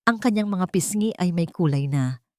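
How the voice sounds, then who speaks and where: A woman narrating a sentimental story from a script, in a measured, solemn and emotive way with short phrases. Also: fairly low pitch, lively intonation, dry recording, clean studio sound.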